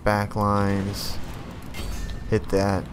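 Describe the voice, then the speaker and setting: A man casually commentating his own strategy game as he plays, barking short orders at his units and swearing freely, his voice a bit worn and often clearing his throat. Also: fairly low pitch, monotone, extremely slow. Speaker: let's play host. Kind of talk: casual live gameplay commentary. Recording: noticeable background noise.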